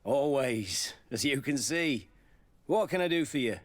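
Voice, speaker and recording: An actor playing a worldly, chatty army quartermaster in a video game, a man with a husky voice. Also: medium pitch, expressive, measured pace, no echo, close to an everyday voice. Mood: hearty, familiar. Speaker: military quartermaster.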